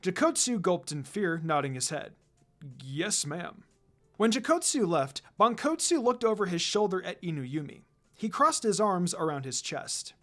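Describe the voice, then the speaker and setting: A man narrating fan fiction as an expressive storyteller. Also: sits high, normal speed, soft background music.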